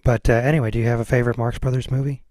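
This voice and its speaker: A man's voice: mid-range voice, flat delivery, rapid-fire, darkish tone, no room sound. Guy hosting a podcast, casual interview chat, affirming, really dry sound. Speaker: podcast host interviewing a guest.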